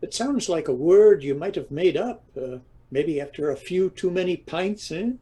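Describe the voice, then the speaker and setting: An actor playing a grumbling, teasing older Irish husband in an audio comedy drama, with an Irish accent and a dry manner. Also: male voice, fairly high pitch, expressive, normal speed, mellow, husky. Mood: sceptical, sly.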